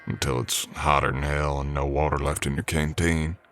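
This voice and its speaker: A man acting an Old West drifter cowboy with an extremely deep voice. He works as a ranch hand and speaks in an unhurried, folksy drawl. His manner is modest and courteous, in the intimate style of an ASMR roleplay addressed to the listener. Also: little pitch movement, fairly bright tone. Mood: wistful, reflective.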